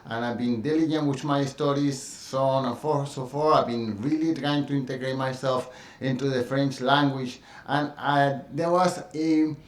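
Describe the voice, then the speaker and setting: A man's voice: medium pitch, echoey room. A middle-aged man from Colombia with a Spanish accent, talking earnestly about his experience, with frequent fillers and restarts. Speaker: dance teacher sharing his experience. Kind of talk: personal monologue.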